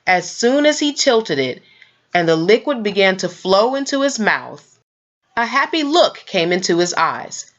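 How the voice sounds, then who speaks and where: Woman reading a kids book out loud, really animated, bit of a dull lofi sound. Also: mid-range voice, a little slow. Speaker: storyteller reading a children's book aloud. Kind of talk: expressive scripted narration.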